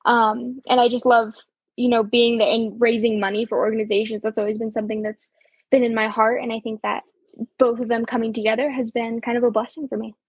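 A teenage girl from Colorado talks through an interview in an upbeat, chatty way, dotting her answers with ums and soft laughs. Her voice sits a little high with a husky, breathy edge. The sound is dry and close. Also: level tone, fairly quick, no room sound, highs cut off. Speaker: auctioneer guest. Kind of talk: casual interview chat.

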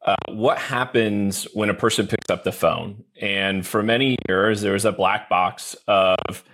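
A man's voice: lowish voice, unhurried, fairly bright tone, low-quality recording. A man speaking as an executive guest on a podcast, explaining things in a conversational way.

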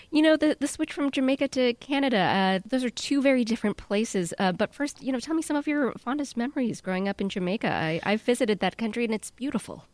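A woman's voice: a little high, lively intonation, fairly quick, dry recording. Woman radio host, dry studio sound. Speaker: radio host and news anchor. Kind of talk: scripted radio introduction and conversational interview.

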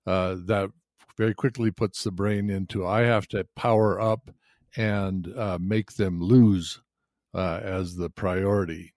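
An older man explaining ideas in a podcast chat, hesitant with many filler pauses. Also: deep voice, fairly even intonation, a little mellow, dry recording, clean studio sound. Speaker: podcast co-host. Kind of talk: explanatory conversation.

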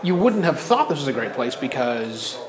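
A man's voice: medium pitch, sing-song, normal speed. A man chatting playfully on location in a pub, joking as he goes. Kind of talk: casual on-location chat. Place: indoor pub. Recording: echoey pub room with crowd. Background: crowd chatter.

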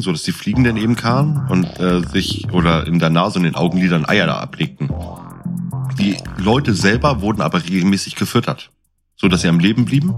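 A man in a casual conversation, explaining things in a colloquial, animated storytelling way as a podcast co-host. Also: low-pitched. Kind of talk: casual chat, explaining and quizzing. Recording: music behind the voice. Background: music.

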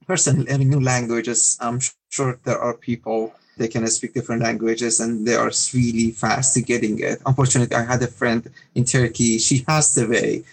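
A man's voice: medium pitch, little pitch movement, moderate pace, creaky, few pauses, slightly rough, poor audio. Man. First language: Farsi. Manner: hesitant, with fillers and restarts. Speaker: guest. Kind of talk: casual interview talk.

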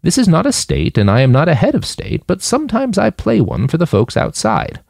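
Audiobook role: elderly matriarch played by a male narrator, wry, matter-of-fact, measured, creaky, close dry sound. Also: a little high, wildly swooping pitch, a little mellow, no echo, pitched above the performer's own voice, full character voice. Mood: wry, frank. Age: older. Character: eldest old woman leader, wry, blunt, matter-of-fact grandmother.

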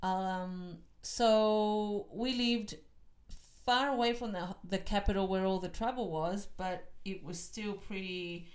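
A woman's voice: medium pitch, fairly even intonation, slow pace. A woman in her fifties from Chile with a Chilean Spanish accent, a podcast guest talking with frequent hesitant ums. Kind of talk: casual interview chat.

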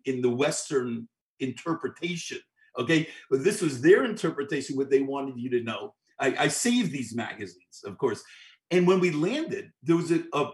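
A middle-aged man from New York spins a long anecdote for an interviewer. His pitch swings widely as he acts out what people said, and it sits a bit higher than most men's. He stumbles and repeats words as he goes. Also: poor audio. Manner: animated anecdotes with many restarts and repeated words. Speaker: guest, orchestral clarinetist. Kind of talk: storytelling interview.

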